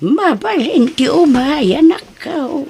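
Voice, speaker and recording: A woman's voice: sits high, very animated, slow pace, warm tone, soft background music, full character voice. A woman playing a frail elderly mother who pleads for a place to sleep, in a radio drama. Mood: pleading.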